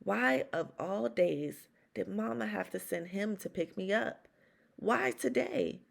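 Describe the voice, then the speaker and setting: A woman reading a children's storybook aloud as first-person narration, expressively, with dramatic pauses and emphasis. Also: mid-range voice, animated, gravelly. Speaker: children's read-aloud host.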